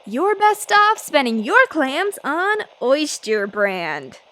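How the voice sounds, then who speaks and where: A woman performs a feisty young newswoman in 1940s radio style. Her voice sits high and swings widely in pitch. She is brash and defiant, and gets flustered easily. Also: very animated, sharp tone, heavily acted. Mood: breezy. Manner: brash, quick to get flustered. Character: feisty young female newspaper reporter, flustered but defiant. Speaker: reporter filling in as radio host. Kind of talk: 1940s radio drama acting.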